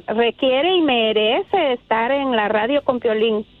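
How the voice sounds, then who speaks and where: A woman's voice: a little high, slow pace, no room sound. Woman, caller, earnest, heartfelt, tinny phone line.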